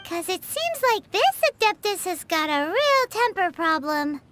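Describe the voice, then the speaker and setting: A woman's voice: extremely high pitch, big pitch swings, unhurried, fairly bright tone, a little hiss or hum, full character voice. A woman voicing a tiny, childlike fairy companion in a video game, chatty and cutesy, with a cheeky temper. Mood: worried, grumbling. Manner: chatty, cutesy, refers to herself by name. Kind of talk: scripted video game voice acting.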